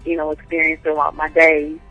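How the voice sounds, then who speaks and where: A woman poet calling in to an interview, answering thoughtfully with frequent pauses and fillers. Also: low-pitched, completely flat delivery, narrow, tinny audio, faint music behind. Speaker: guest poet and writer. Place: calling in from home by phone.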